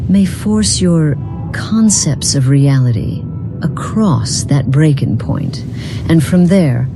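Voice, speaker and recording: A woman acts out an ageless, lonely being from beyond the mortal world in a fantasy game. Her voice is low for a woman, with measured, formal and philosophical wording. Music plays clearly behind her. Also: expressive, full character voice. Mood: grave, musing. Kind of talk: voiced role-playing game dialogue.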